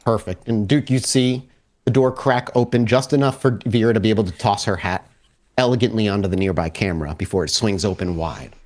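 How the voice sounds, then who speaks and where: A man with a fairly low voice runs a tabletop roleplaying game. He improvises scene descriptions in detail and talks to the players in an accommodating way. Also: a little fast, a little mellow. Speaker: game master of a vampire tabletop roleplaying podcast.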